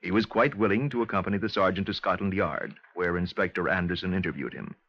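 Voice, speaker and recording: A man's voice: deep voice, quick pace. A man playing a sober, factual narrator of a police case in a 1950s radio drama. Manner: formal, factual. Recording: muffled 1950s radio recording.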